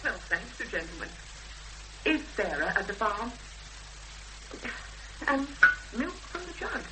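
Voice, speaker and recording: A woman playing an earnest young woman in a 1970s British radio drama, expressive and hesitant when pressed, on an old recording. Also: on the high side, measured pace, background noise. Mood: polite, friendly. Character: Emma, earnest young woman searching for missing sister.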